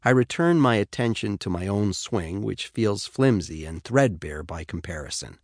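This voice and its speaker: Animated American male audiobook narrator. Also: on the low side, moderate pace, dry recording, studio quality. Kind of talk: scripted first-person fiction narration. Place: dry studio.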